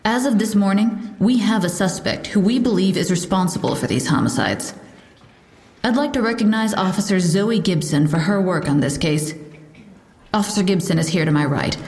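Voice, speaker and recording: An actress playing a composed police lieutenant, giving a formal, measured statement at a press briefing in an audio drama. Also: female voice, mid-range voice, a little mellow, lightly acted. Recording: roomy echo.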